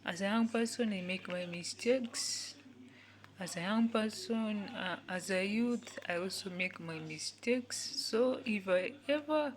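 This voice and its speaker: A woman, giving an earnest motivational talk in which she repeats each phrase several times and sometimes drops to a soft whisper. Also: mid-range voice. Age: 20s. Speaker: youth speaker.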